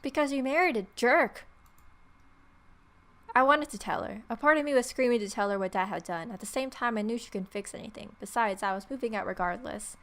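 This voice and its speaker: A woman's voice: a little high, a little fast, a bit bright. A woman hosting a let's play, reading a visual novel aloud and adding wry, playful asides. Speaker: gaming video host. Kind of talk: let's play, reading protagonist's lines and ad-libbing.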